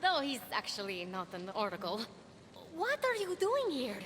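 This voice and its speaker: A woman acting an earnest, plucky young heroine in a video game cutscene, expressive and youthful. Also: a little high, normal speed, a little hiss or hum. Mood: hesitant, doubtful. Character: plucky young heroine, admiring little sister.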